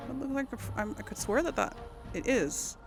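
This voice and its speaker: Woman gamer thinking aloud, swooping pitch. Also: animated, fairly quick. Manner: thinks aloud, mutters complaints to self. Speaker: let's play host. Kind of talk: casual gameplay commentary. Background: video game music and sounds.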